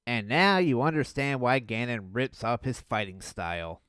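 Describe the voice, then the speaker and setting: A man hosting a video, riffing on cartoon clips with mocking, deadpan sarcasm. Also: mid-range voice, moderate pace, over loud music. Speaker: video host. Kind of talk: sarcastic riff commentary.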